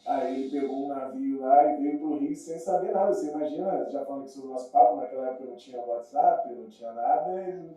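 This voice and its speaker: A man's voice: medium pitch, quick pace, hardly any pauses, dark tone. A man with a Rio de Janeiro Brazilian accent chatting casually and telling stories in informal, slangy speech. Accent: Rio de Janeiro Brazilian Portuguese. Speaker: podcast guest. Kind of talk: casual chat, storytelling. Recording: muffled, very echoey room, distant mic.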